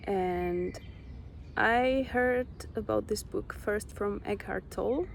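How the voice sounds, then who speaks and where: A young Czech woman with a Czech accent, slowly sharing a personal spiritual story with many pauses. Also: medium pitch, vocal fry, a little hiss or hum. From Czech Republic. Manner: slow, reflective, pausing often. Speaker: vlogger.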